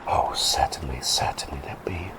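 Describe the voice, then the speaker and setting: A man's voice: normal speed, close to an everyday voice. Man playing Dr. Cyneric, a whispering bird doctor. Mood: gentle whisper. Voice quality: deep creaky whisper. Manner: soft whispering, rambling and meandering. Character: quirky male talking bird plague doctor. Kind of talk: ASMR roleplay bedtime storytelling.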